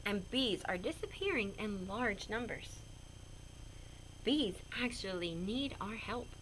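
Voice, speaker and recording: A woman's voice: medium pitch, lively intonation, slow pace, a bit bright, slight background noise, poor audio. A woman reading a picture book aloud to children, expressively, with simple explanatory asides. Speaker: read-aloud storyteller for children.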